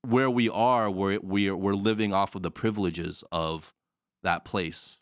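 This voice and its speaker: A man's voice: low voice, steady tone, unhurried, no echo, studio quality. A man co-hosting a podcast in casual chat, speaking earnestly and filling his sentences with "like". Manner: earnest, heavy use of "like". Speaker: podcast co-host. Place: studio in Shanghai.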